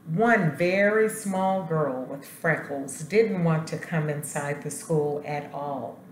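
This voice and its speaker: Slow-spoken, low-voiced woman reading aloud. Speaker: elementary school principal reading aloud to students. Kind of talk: children's picture book read-aloud with asides. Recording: roomy echo, faint background noise.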